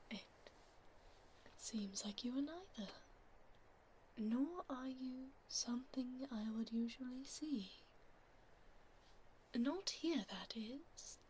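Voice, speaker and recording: A woman voicing a haughty but tender vampire duchess in a soft, whispered ASMR roleplay, with formal, aristocratic phrasing. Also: high voice, animated, drawn-out words, long pauses, slight background noise, heavily acted, low-quality recording. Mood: haughty, wary.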